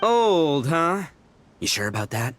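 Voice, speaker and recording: A man voicing a boastful, playful young oni in a video game, swaggering and teasing in slangy talk with a gravelly edge. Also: on the high side, very animated, takes it slowly, fairly bright tone, a little hiss or hum, full character voice. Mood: cocky. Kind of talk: animated video game voice acting. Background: music.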